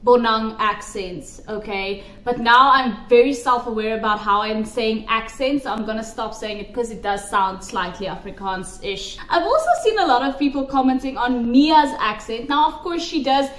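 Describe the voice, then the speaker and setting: A woman's voice: a little high, moderate pace, few pauses, a bit bright. A woman giving emphatic, opinionated commentary to camera, repeating her points for stress. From South Africa. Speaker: pageant commentary vlogger. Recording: roomy echo.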